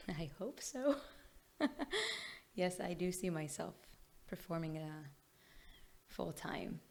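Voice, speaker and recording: A woman from Israel with an Israeli accent, a singer-songwriter answering interview questions thoughtfully with frequent pauses. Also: fairly low pitch, animated, gravelly, mellow.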